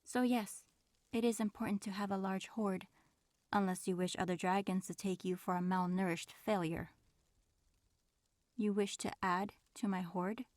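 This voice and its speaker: A woman playing a proud, reserved dragon in human form, speaking formally and with measured aloofness in an audio roleplay. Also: medium pitch, fairly even intonation, a little mellow, no room sound, studio quality, lightly acted. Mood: aloof, reserved. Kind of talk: audio roleplay acting, addressing the listener.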